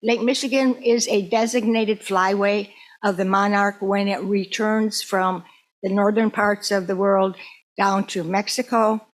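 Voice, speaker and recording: A woman's voice: medium pitch, fairly even intonation, measured pace. Woman giving an informal talk. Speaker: presenter. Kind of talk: informal educational talk to a group.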